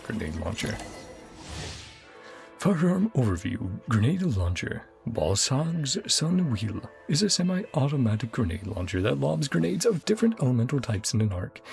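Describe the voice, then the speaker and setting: A woman's voice: very deep voice, gravelly, darkish tone. A woman doing casual let's-play commentary, playfully reacting in short murmurs and reading game text aloud. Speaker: gaming streamer. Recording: game music under the voice. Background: video game music.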